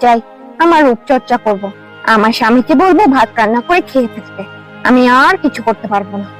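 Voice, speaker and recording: A woman's voice: a little high, animated, fairly quick, soft background music, lightly acted. A woman playing a vain, lazy young village wife in a cartoon, boastful, complaining and quarrelsome. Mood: self-absorbed. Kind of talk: cartoon voice acting.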